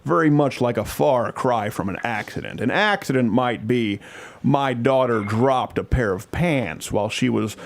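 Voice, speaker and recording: An indignant father, acted out by a man lodging a complaint by phone. He speaks in a pompous, wordy, mock-formal way, laced with sarcasm, at a middling pitch. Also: unhurried, vocal fry. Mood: condescending, sarcastic. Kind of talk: prank phone call complaint.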